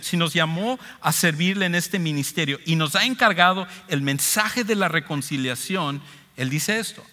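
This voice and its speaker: Latin american spanish guy preaching a sermon, bright forceful voice, kinda high, repeats stuff for emphasis. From Ecuador. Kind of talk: expository sermon to a congregation. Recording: long hall reverb.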